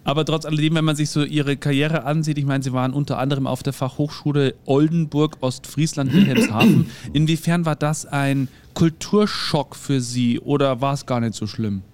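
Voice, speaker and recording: A man's voice: a little high, fairly even intonation, normal speed, hardly any pauses, a little mellow. Upbeat male radio host. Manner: upbeat, leading the conversation. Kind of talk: radio interview.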